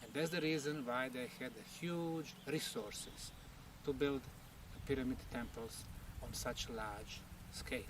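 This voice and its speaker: A man giving an on-site explanatory presentation as a researcher, speaking emphatically in short, deliberate phrases. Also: medium pitch. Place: outdoors at a temple site. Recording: noisy outdoor recording, distant mic.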